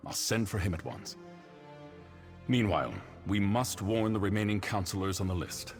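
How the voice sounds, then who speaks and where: A man voicing a grave, authoritative imperial councillor in a video game, measured and official. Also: lowish voice, soft background music, close to an everyday voice. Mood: troubled.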